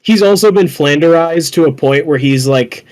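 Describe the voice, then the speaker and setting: A man chatting casually as a podcast panelist about films, earnest and halting, often repeating words as he goes. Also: on the high side, darkish tone. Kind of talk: casual chat.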